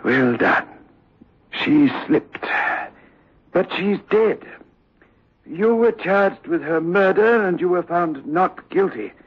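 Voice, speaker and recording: Commanding asylum doctor, insistent, fairly high husky voice, very muffled vintage radio play. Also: male voice, expressive, exaggerated voice. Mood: reassuring, insistent. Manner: stern, deliberate, commanding. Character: authoritative, insistent sanatorium doctor, firm and probing. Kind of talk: 1960s radio drama acting. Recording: muffled, lo-fi old radio recording.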